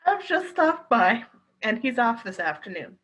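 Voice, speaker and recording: An actress in a radio play playing a loyal, spirited and protective London wife, in a theatrical style. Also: female voice, a little high, big pitch swings, measured pace, a bit bright, husky, highs cut off, full character voice. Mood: affectionate, brisk.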